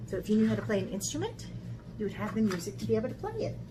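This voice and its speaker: A woman's voice: medium pitch, very animated, very fast. A woman hosting a children's art camp, talking casually and chattily to young viewers. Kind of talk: casual talk to children, read-aloud. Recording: clear background noise.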